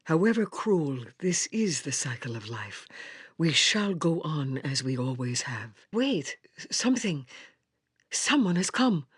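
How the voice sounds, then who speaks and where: An actress playing a grave, wise old village seer in a fantasy video game, with a husky voice and formal, solemn speech. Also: female voice, on the low side, dry recording, clean studio sound, full character voice. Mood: sorrowful, then alarmed.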